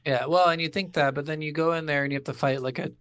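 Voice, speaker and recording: A man's voice: on the high side, steady tone, quick pace, fairly bright tone, slight background noise. A man co-hosting a video game podcast, chatting casually with heavy swearing and incredulous rants, acting out lines he quotes. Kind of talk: casual, profane game review chat.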